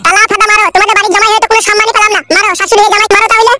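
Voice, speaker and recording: High-pitched, quarrelsome cartoon son-in-law. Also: female voice, flat delivery, racing, sharp tone, no echo. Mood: aggrieved. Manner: complaining, sarcastic, argumentative. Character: aggrieved, foul-mouthed, quarrelsome young cartoon son-in-law. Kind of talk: crude comedy cartoon dubbing.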